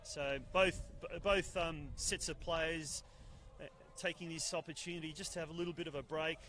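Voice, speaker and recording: A man giving live football commentary outdoors at a ground, booming and excitable, holding a handheld mic at arm's length. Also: normal speed. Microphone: handheld mic held at arm's length.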